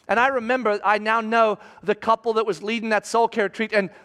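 A middle-aged pastor delivers an emphatic sermon in a notably high voice. He preaches through storytelling and speaks directly to the congregation. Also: little pitch movement, brisk, fairly bright tone, clear, resonant tone.

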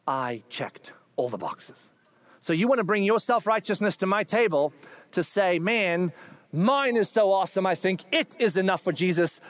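A man preaching a sermon, animated and humorous, acting out quoted figures. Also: high-pitched. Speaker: pastor.

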